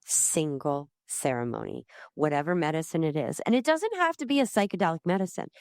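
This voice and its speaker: A woman in her fifties, a guest in an interview, speaking in a heartfelt, animated way. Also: low-pitched, a little fast, dry recording, clean studio sound. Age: 50s. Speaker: guest, community founder. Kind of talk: conversational interview.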